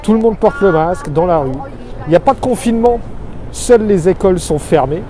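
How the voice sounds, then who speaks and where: A middle-aged man from Alsace, France, giving an earnest, measured street-side monologue full of figures, recorded outdoors on a city street. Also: sits high, animated, mellow. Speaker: expatriate vlogger. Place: outdoors on a city street below his office. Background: faint street and traffic noise.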